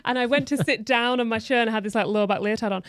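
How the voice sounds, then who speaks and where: A woman podcast host telling stories in casual chat, talking fast with lots of fillers and laughing. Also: on the high side.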